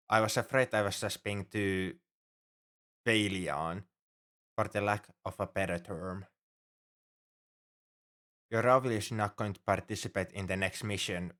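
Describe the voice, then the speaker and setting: Casting: male actor for a worrying, devoted alien shipmate, fairly deep voice, earnest and halting, formal turns of phrase, intimate ASMR roleplay. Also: fairly bright tone. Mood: relieved, tender. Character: anxious, caring male alien crewmate on a spaceship. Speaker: ship crewmate tending a sick human.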